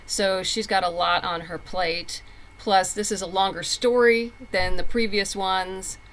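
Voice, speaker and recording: A woman's voice: mid-range voice, steady tone, unhurried, a bit bright, poor audio. Chatty, candid woman in conversation. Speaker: podcast guest, comic book writer. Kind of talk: casual interview conversation.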